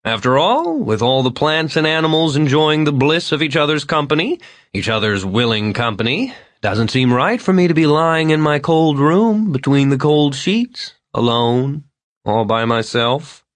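A man's voice: fairly high pitch, animated, normal speed, dry recording, pitched above the performer's own voice, natural voice. A man voicing a drunk, disheveled middle-aged man who rambles, slurs and swings between overly polite and maudlin. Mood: rambling, maudlin. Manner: slurred, wandering, overly polite then maudlin.

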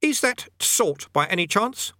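A man's voice: high-pitched, sing-song, measured pace, sharp tone, no echo, clean studio sound, voice pushed up, heavily acted. A man voicing a pert, whiny teenage boy who sounds like a know-it-all. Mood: falsely polite. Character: cheeky teenage boy demon summoner.

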